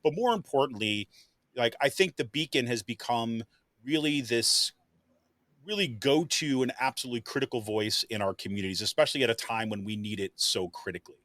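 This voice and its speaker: Male podcast host, mid pitch, dry close recording, loose and hedging. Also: fairly quick, fairly bright tone, no room sound. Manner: loose, hedging, heavy on "kind of". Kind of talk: casual interview hosting.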